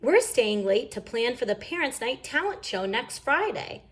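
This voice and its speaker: A woman puts on a young brother bear from a storybook. The voice is lifted high and bounces around in pitch as he grumbles and protests indignantly. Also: very animated, moderate pace. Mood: protesting. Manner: complaining, protesting. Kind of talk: children's storybook read-aloud.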